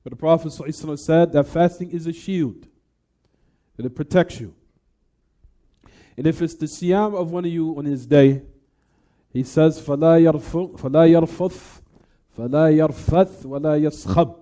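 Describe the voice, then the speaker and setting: A man's voice: on the high side, a little fast, pauses often, warm tone. A man giving a religious lecture in a didactic manner, repeating phrases and putting questions to his listeners as he explains texts.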